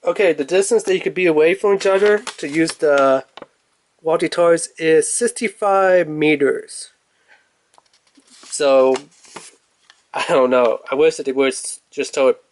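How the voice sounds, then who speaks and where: A man giving a casual product review in an informal, rambling way, thinking aloud as he goes. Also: medium pitch.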